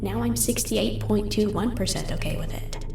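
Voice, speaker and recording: A woman's voice: medium pitch, normal speed, gravelly, lightly acted. A woman voicing a bitter, unhinged cartoon pony mare in a theatrical, sarcastic way. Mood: uneasy, sarcastic. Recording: roomy echo under music.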